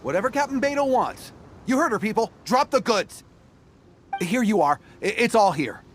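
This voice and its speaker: Cowardly gang boss, high voice, swooping intonation, oily, fawning, video game villain. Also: male voice, sing-song, normal speed, a little hiss or hum. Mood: nervous, fawning. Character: smarmy cowardly bandit boss, flattering his betters.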